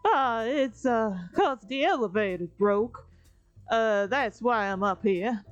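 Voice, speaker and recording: A woman's voice: on the high side, expressive, slow pace, no echo, pitched above the performer's own voice. A woman voicing a friendly, folksy flesh-lump creature janitor in a comic dub, hesitant and evasive. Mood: awkwardly friendly. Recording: dull recording with background music.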